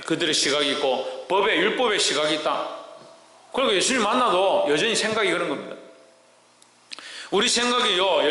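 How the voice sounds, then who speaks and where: The man at the pulpit on a Sunday, his sharp voice ringing around a cavernous sanctuary as he quizzes the pews on each verse in a South Korean regional dialect. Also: high voice. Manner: insistent rhetorical questions, prods listeners for answers. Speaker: pastor preaching to a congregation. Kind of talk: verse-by-verse sermon with scripture reading. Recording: echoey hall with long reverb.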